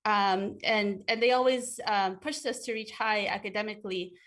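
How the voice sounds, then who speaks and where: A woman's voice: mid-range voice, steady tone, normal speed, fairly bright tone. A woman from Ecuador, a guest in an interview, answering thoughtfully with frequent fillers and restarts.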